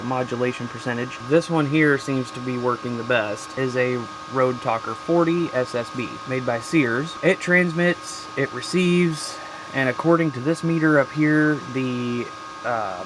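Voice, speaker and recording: A man's voice: medium pitch, noisy background. A man giving a casual, folksy show-and-tell about his hobby gear as a video host, with informal slang.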